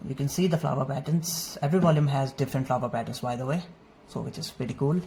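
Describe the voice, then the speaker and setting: A man whose first language is not English, casually showing his manga collection in an unhurried, friendly way and dropping Japanese terms into his English. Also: mid-range voice, vocal fry, warm tone. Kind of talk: casual show-and-tell overview. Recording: faint noisy room ambience. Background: faint background noise.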